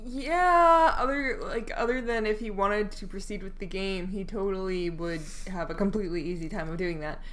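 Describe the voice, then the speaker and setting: A woman's voice: medium pitch, moderate pace, few pauses, fairly bright tone, a little hiss or hum. A woman co-hosting a casual gaming podcast, hesitant and giggly, often stumbling and trailing off as she talks. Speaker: podcast co-host. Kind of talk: casual chat.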